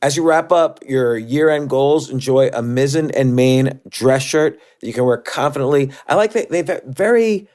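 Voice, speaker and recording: A man reads a sponsor spot as a podcast host. His pitch is middling, and he delivers the copy casually, as personal talk. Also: normal speed, few pauses, darkish tone. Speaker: host reading a sponsor message.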